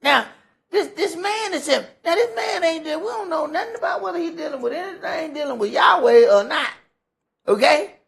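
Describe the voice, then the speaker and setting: A man's voice: very high voice, animated, moderate pace, vocal fry, fairly bright tone. A man teaching scripture in an informal sermon, conversational and emphatic, repeating phrases for effect. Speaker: priest teaching a Bible study.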